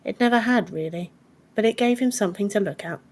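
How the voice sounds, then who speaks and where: A woman's voice: mid-range voice, fast talker. A woman reading scripted fiction as an audiobook narrator.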